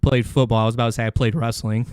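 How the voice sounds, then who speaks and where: A man's voice: mid-range voice, level tone, rapid-fire, darkish tone, dry recording, clean studio sound. A man hosting a comedy podcast, rambling casually through stories with lots of swearing and jokey bro slang. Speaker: podcast host.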